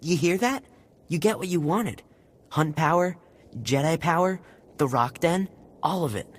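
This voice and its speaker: An actor playing an earnest, frightened young male villager held captive in a video game, speaking in a halting, pleading way. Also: a little high, animated. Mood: relieved, grateful. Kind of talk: video game character acting. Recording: game music behind voice.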